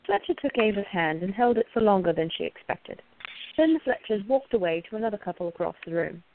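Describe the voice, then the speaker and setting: A woman's voice: mid-range voice, expressive, quick pace, gravelly, a little hiss or hum. Woman narrator, muffled phone-like sound. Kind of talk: scripted radio play narration.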